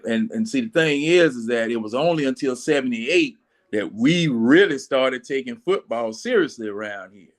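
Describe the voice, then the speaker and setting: A man in his sixties chatting casually about sports as a panelist, rambling and folksy in his storytelling. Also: mid-range voice. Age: 60s. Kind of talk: casual football fan chat.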